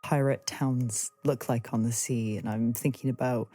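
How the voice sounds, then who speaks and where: Very mellow, searching woman interviewer. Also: very deep voice, fairly even intonation, measured pace, no room sound. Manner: hesitant, searching, reworks phrases aloud. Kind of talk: reflective arts conversation.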